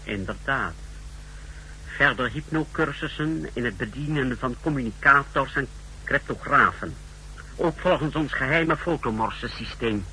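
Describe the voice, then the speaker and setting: Clinical male intelligence technician, very bright, incisive voice, precise delivery, old hissy radio drama. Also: mid-range voice, lively intonation, unhurried. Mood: clinical, formal. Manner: formal, precise, explanatory. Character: clinical secret service technician briefing his superior. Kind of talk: 1960s radio drama acting. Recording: noisy, humming 1960s radio recording.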